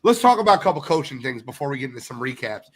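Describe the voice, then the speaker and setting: A man's voice: medium pitch, big pitch swings, very fast, a little hiss or hum. A man co-hosting a casual sports podcast, blunt and teasing, who sounds congested from a sinus infection. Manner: blunt, swears, ribs the others. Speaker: podcast co-host.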